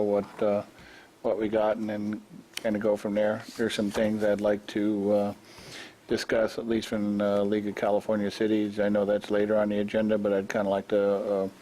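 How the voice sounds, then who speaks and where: Lowish monotone man, casual hesitant talk, light hiss behind. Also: low-quality recording. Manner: casual, hesitant, many fillers. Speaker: council member. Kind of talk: council meeting discussion. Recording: faint background noise from a live stream.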